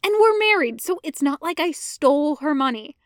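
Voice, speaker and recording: Woman doing a whiny sheepish young guy from a story, high voice, kinda defensive and hesitant. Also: lively intonation, moderate pace, no echo, studio quality. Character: whiny, sheepish, lovesick-meddling young man. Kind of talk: storybook character voice.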